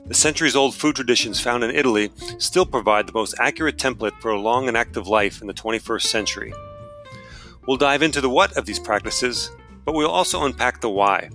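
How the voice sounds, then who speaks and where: A man reading a polished, scripted podcast introduction at a measured pace. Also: medium pitch, sharp tone. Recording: guitar music underneath.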